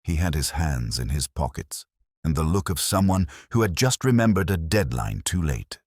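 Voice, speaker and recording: A man playing a brooding, observant detective inspector, narrating a mystery story in a measured, reflective, literary way with deliberate pauses. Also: rumbling low, very animated, a little mellow, dry recording, studio quality, full character voice. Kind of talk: scripted first-person detective story narration.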